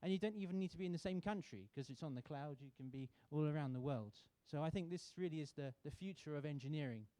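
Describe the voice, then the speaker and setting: Man from the UK, sponsor rep at a lectern, soft warm tone, hesitant delivery. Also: a little high, no room sound. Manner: relaxed, halting with many fillers and restarts. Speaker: sponsor representative. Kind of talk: welcome speech at a contest. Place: university auditorium.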